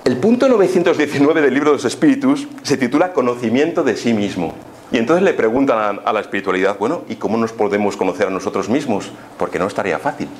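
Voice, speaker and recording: A man's voice: medium pitch, animated, fast. Spanish man lecturing over background noise. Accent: Spanish, from Spain. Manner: didactic, with rhetorical questions and check-in tags. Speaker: lecturer on spiritism. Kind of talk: informal explanatory lecture to an audience.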